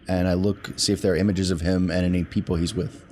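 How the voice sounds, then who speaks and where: Guy player at a tabletop rpg, lowish voice, casual chat, noisy background. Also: little pitch movement, quick pace, a little mellow. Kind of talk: casual chat, describing character actions. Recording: clear background noise.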